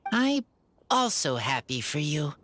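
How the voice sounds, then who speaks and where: A woman voicing a shy, solemn, wild teenage boy in a video game, speaking in short, halting sentences. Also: low voice, very animated, a bit bright, a little hiss or hum, heavily acted. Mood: quiet, sincere.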